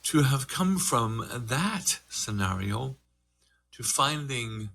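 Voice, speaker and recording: A man's voice: medium pitch, lively intonation, bright, forceful tone. An older man with an Irish accent, a meditation teacher telling his own story earnestly and reflectively in a group conversation. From Ireland. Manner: earnest, reflective, slow and fast by turns.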